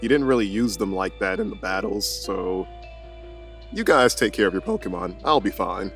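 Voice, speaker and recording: A man's voice: deep voice, lively intonation, normal speed, fairly bright tone. A man playing in a casual tabletop roleplay podcast, hesitant and often repeating and correcting his words. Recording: music under the voice.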